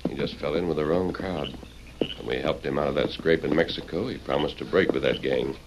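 An actor playing an upright, kindly masked cowboy hero in an old radio western, speaking in a measured, commanding manner. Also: male voice, very deep voice. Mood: earnest, reassuring. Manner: measured, formal, authoritative. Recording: old radio recording, heavy noise.

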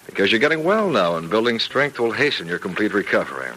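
Radio serial: reassuring, firm male physician, low-voiced with lively inflection, professional and persuasive, on a vintage recording. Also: fast, a little hiss or hum, poor audio. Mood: kindly. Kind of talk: old-time radio serial drama acting.

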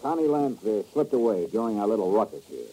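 A man's voice: fairly low pitch, expressive, fairly quick, dark tone, slightly rough. An actor playing a hard-boiled male insurance investigator, clipped and sardonic, in an old radio drama. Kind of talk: 1950s radio drama acting. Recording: muffled old radio broadcast.

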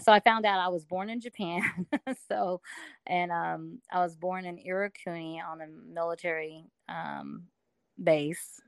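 Middle-aged woman from alabama, lowish smooth voice, lots of um and you know, dry close sound. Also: unhurried, dry recording. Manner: chatty, many fillers, frequent laughs. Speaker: guest. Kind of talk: casual interview storytelling.

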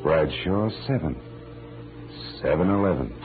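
Tough american radio detective, deep voice, wry and clipped, old tinny 40s broadcast. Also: male voice, measured pace, heavily acted. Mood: weary, reflective. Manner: sardonic, clipped, deadpan. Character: tough, wry, world-weary male private detective. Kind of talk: 1940s radio acting, hard-boiled first-person narration. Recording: tinny vintage radio broadcast, music behind.

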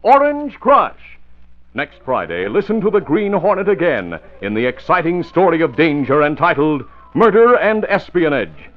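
A man's voice: on the high side, very animated, normal speed. An American man announcing a 1950s radio show, reading narration and sponsor copy with punchy, emphatic salesmanship. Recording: very muffled, narrow old radio broadcast.

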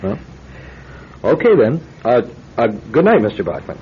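Hesitant, polite policeman, lively intonation. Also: medium pitch, unhurried. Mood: polite, puzzled. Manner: hesitant, deferential, stammering. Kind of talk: old-time radio drama acting. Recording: dull, noisy old radio drama recording.

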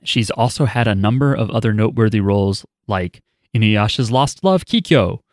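A man's voice: on the low side, expressive, moderate pace, a little mellow, dry recording. Wry podcast host man, dry mic. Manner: wry, offhand asides. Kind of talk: casual chat, reading listener email.

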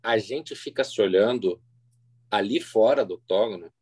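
A man's voice: lowish voice, normal speed, a little mellow, slightly rough, no echo. A Brazilian man speaking as a guest interpreter in a casual, anecdotal chat, using slangy, colloquial speech.

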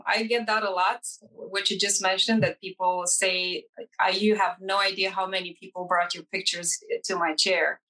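A woman's voice: mid-range voice, bright, forceful tone, poor audio. A woman who is a guest hairstylist on a podcast, speaking earnestly as she explains her work. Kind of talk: podcast interview.

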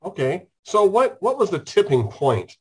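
A man's voice: sits high, big pitch swings, moderate pace, a little mellow. Stuffed-up man hosting a casual show. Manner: joking, rambling storyteller. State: stuffed up with a cold. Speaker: host. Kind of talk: casual online talk show chat.

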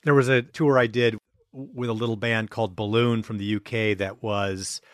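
A man with a fairly low voice chats as a podcast host, telling stories in a measured, amused way. The recording is dry, with little room sound. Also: steady tone, dry recording. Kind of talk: casual chat and anecdotes.

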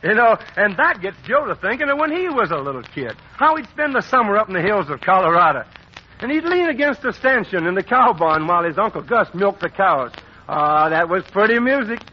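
A man's voice: high voice, very animated, brisk, highs cut off, slight background noise. A man, a radio announcer, narrating a scripted story in a chummy, folksy way on an old 1940s broadcast.

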